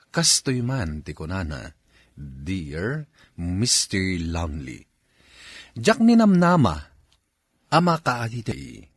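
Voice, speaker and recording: A man's voice: medium pitch, husky, no echo, clean studio sound, heavily acted. A man with an Ilocano accent acting and narrating as the storyteller of a radio drama. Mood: solemn, presenting. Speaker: radio drama host and actor.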